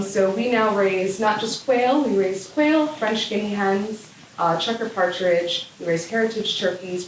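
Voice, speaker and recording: A woman's voice: medium pitch, slight background noise. A woman farmer on a discussion panel, talking casually and upbeat with plenty of fillers. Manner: chatty, upbeat, many fillers. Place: event room. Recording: roomy, distant mic.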